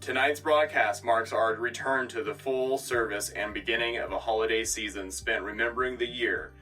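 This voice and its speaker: Male radio anchor role, man acting: composed, patriotic, dry wit, crisp bright delivery, music underneath, a little distant. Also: mid-range voice, steady tone, close to an everyday voice. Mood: solemn, proud. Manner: formal, dryly witty, measured. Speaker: radio host. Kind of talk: scripted sci-fi radio newscast.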